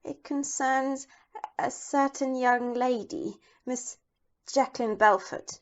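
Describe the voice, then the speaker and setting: A woman's voice: high voice, steady tone, takes it slowly, highs cut off. A woman playing a rich, anxious young wife in an audio play, speaking in a proper, imperious manner. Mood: composed, urgent. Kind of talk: amateur audio play acting.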